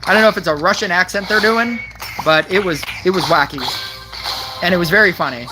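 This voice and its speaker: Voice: mid-range voice, brisk, over music, poor audio. A game streamer chatting casually over mobile gameplay, giggly and rambling with lots of fillers. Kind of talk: casual gameplay commentary with chat.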